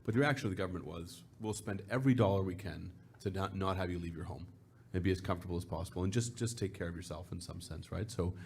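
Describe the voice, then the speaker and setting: A man moderating a talk and asking quick, loose questions. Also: sits low, vocal fry, a little mellow, slight background noise. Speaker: event moderator. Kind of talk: moderated conversation.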